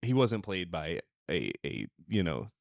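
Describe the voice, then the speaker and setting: A man chatting casually on a podcast panel, halting and repeating words as he goes. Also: deep voice, very animated, vocal fry, dry recording, clean studio sound. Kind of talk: casual chat.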